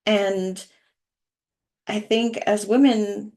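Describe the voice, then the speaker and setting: A middle-aged woman, a wellness coach, speaking earnestly and softly as she introduces and reads a short story aloud. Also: medium pitch, steady tone, very slow. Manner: earnest, soft-spoken, with small laughs. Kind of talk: casual intro then story reading.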